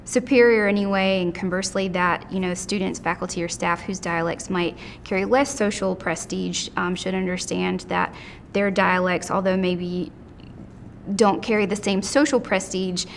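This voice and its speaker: Earnest lower-voiced woman educator. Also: steady tone, normal speed, vocal fry, a little hiss or hum. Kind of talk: interview commentary.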